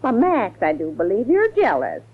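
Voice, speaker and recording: A woman's voice: medium pitch, wildly swooping pitch, normal speed, husky. Slightly muffled 1940s radio drama audio with a gossipy woman of the house. Her mid-range voice is folksy and teasing, swooping freely up and down. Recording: tinny 1940s radio recording.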